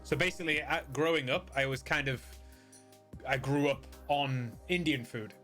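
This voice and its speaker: Halting, rambling man chatting on a livestream. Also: medium pitch, bright, forceful tone. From United Kingdom. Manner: rambling, halting, often searching for words. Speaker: game streamer. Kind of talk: casual livestream chat. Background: music behind the voice.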